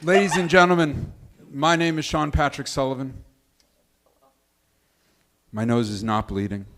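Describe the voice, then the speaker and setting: A man speaking as a show producer on a live stage, giving orderly, deliberate promotional announcements. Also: mid-range voice, steady tone, noisy background. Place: live stage in small venue.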